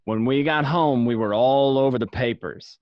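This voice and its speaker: A man's voice: medium pitch, normal speed, no echo, dull audio, close to an everyday voice. A man playing a cynical, streetwise gangster who tells his own crime story in a radio drama. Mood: wry, incredulous. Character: cynical streetwise young mobster narrating his own arrest. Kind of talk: first-person crime drama narration. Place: home, remote online recording.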